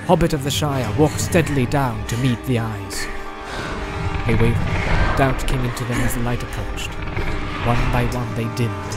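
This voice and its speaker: Loud music surrounds a man's medium-pitched voice as he narrates a storybook. His delivery is solemn and measured, with dramatic weight given to the prose. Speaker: audiobook narrator. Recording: loud background music and sound effects. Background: loud cinematic music.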